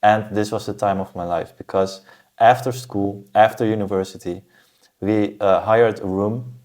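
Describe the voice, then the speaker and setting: A man from Germany, a podcast guest, talking about his path in a steady, thoughtful way with frequent "uh" fillers. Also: fairly low pitch, normal speed. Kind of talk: casual interview talk.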